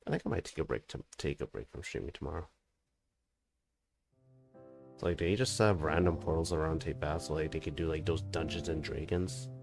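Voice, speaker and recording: A man's voice: very deep voice, a little fast, gravelly, pauses often, over music. A man chatting casually on a gaming livestream, talking in slang and swearing freely. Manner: slangy, swearing, scat-singing to himself. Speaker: game streamer.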